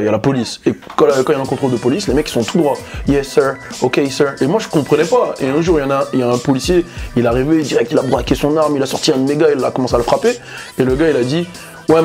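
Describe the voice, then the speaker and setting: A young man with a French accent tells anecdotes in a casual chat. He speaks quickly in slangy, lively storytelling style, at an average male pitch. Faint music plays behind him. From France. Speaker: interview guest.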